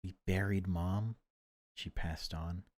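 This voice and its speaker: A man reads the part of a haunted woman in a horror visual novel. The voice is low, hesitant and tense, uneasy as it speaks. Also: steady tone, takes it slowly, a little mellow. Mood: confused, soothing. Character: uneasy adult woman protagonist, haunted, muddled.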